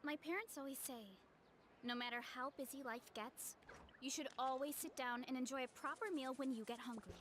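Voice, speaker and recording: A woman voicing an energetic, hearty young girl in a video game, chirpy and earnest. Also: sits high, normal speed, a little hiss or hum. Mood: upbeat, eager. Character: energetic, hearty young girl who loves food, training and orchards. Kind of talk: scripted video game character acting. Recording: clean voice under loud game music. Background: game music.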